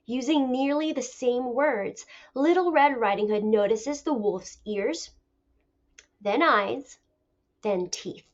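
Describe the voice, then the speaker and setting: High-voiced woman teacher reading aloud. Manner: deliberate, expressive storytelling. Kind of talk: expressive picture-book read-aloud lesson. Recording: dull lo-fi recording.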